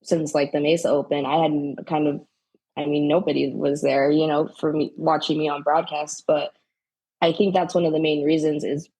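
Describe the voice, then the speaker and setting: A woman's voice: deep voice, flat delivery, normal speed, mellow, husky, poor audio. A woman who plays professional sport, chatting casually as a guest on a podcast. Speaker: podcast guest, pro cornhole player. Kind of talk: casual interview chat.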